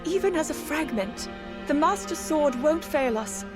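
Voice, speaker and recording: Young princess, high voice, polite n earnest, kinda formal, video game scene w music behind. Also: female voice, lively intonation, a little slow. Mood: earnest, reassuring. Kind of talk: video game cutscene acting.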